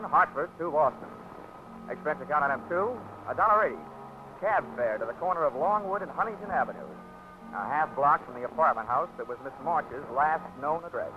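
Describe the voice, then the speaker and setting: A man's voice: on the high side, expressive, a little fast, natural voice. An actor playing a dry, wisecracking insurance investigator who narrates his own case in a 1940s radio drama. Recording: dull old radio broadcast with music.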